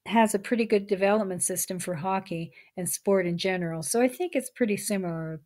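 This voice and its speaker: A woman, the author, reading her nonfiction book aloud at a steady, measured pace. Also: medium pitch.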